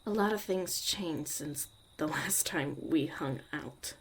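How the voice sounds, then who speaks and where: Low-voiced jaded witch played by a woman, noisy recording. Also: takes it slowly. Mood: wistful, resentful. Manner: sardonic, casual, swearing, dismissive. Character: jaded, blunt young witch mother surviving zombie apocalypse. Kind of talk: ASMR roleplay, soft intimate monologue.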